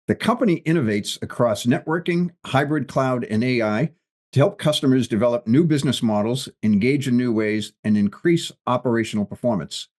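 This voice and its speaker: A man's voice: mid-range voice, darkish tone. A man hosting an interview podcast who asks chatty, loosely rambling questions with some joking. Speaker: podcast host.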